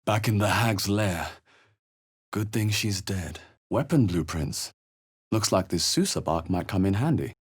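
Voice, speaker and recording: An actor playing a wry, wary adventurer hero in a fantasy video game, speaking in a measured, understated, dry way. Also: male voice, deep voice, animated, breathy edge, heavily acted. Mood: wry, watchful. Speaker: video game player character voice. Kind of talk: scripted fantasy game exploration barks.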